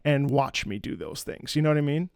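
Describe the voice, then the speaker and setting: A man's voice: fairly high pitch, brisk, gravelly, darkish tone, clean tone, dry recording, studio quality. An enthusiastic man commentating on video game music in a live stream reaction, breaking into sung syllables to imitate rhythms. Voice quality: trained opera singer's voice. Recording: music behind the voice.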